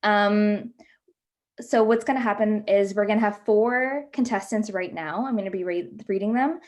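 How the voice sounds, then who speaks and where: A young woman from Canada running a panel discussion. She affirms the speakers and fills gaps with plenty of ums. Her voice sits at a middle pitch. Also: moderate pace. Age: young adult. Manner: warm affirming, filler-heavy. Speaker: event moderator. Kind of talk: moderating a panel.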